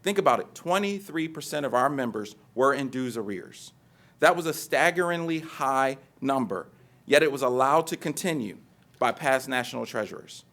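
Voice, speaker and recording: A man's voice: mid-range voice, lively intonation, moderate pace, a bit bright. A man speaking as a union treasurer candidate in a debate, assertive and dismissive of his opponent. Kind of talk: debate statement.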